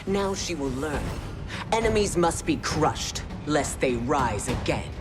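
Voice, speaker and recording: A low-voiced, ruthless empress. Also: female voice, expressive, music in the background. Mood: scornful. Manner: commanding, haughty, deliberate. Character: ruthless, scheming warrior empress, cold and regal. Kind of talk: dramatic video game cutscene acting.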